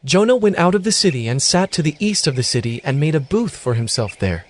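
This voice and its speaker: A man's voice: fairly high pitch, very animated, brisk, no echo, clean studio sound. A man narrating scripture from a script in a dramatic storytelling style.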